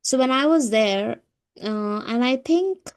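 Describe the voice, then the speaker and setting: A woman's voice: on the high side, lively intonation, slow, clean tone. A woman from South India with an Indian accent, chatting as a co-host in a casual spiritual discussion.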